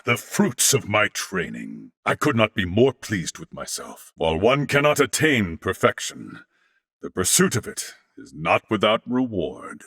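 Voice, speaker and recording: A gallant, disciplined warrior man from a video game. His voice is forceful and gravelly, swinging widely in pitch. He phrases everything in a formal, old-fashioned, theatrical way, proud and resolute in temper. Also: full character voice. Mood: composed, self-satisfied. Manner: formal, archaic, crisply declaimed phrasing.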